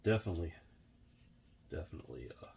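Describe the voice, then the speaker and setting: A hoarse, sniffly man walks through a workbench repair in an unhurried, chatty tutorial style. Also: rumbling low, lively intonation, background noise. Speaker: vintage reel restorer.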